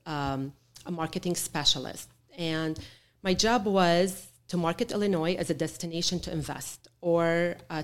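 Middle-aged woman guest, low voice, halting delivery full of fillers. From born in Chicago, raised in Beirut. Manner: halting, with many fillers and restarts. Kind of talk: interview storytelling.

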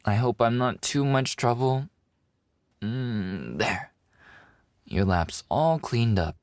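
Man playing a slow, playful femboy café server. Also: mid-range voice, animated, no room sound, studio quality. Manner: teasing, cutesy, clingy, giggly. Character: playful, affectionate young animal-boy maid café server. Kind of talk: roleplay ASMR acting.

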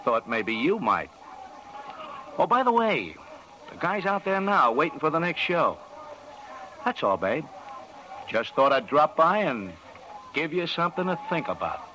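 A man's voice: on the high side, big pitch swings, measured pace, long pauses, bright, forceful tone, exaggerated voice. An actor playing a sly, breezy carnival man in an old-time radio crime drama, offhand and slangy. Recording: faintly noisy vintage radio recording.

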